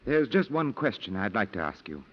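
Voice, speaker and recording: Assured, low-voiced lawyer with lively intonation. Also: male voice. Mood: probing, gentle. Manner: formal, measured, assured. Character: measured, reassuring male colonial lawyer and family friend. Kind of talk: 1940s radio acting. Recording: muffled, faintly noisy 1940s radio recording.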